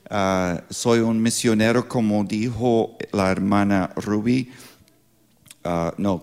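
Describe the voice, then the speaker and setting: A man in his sixties with an American accent, a non-native speaker with English as first language, preaching informally as a missionary in halting speech with grammatical slips. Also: low-pitched, creaky, a little mellow. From Pittsburgh, United States. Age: 60s. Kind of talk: sermon with informal introduction.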